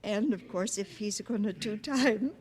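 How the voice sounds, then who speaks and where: A woman's voice: medium pitch, measured pace, a little mellow, slightly rough. A woman in her fifties, a quiz contestant, answering candidly and a little hesitantly in unscripted conversation. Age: 50s. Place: radio studio with audience. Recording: noisy, clicky vintage radio broadcast recording.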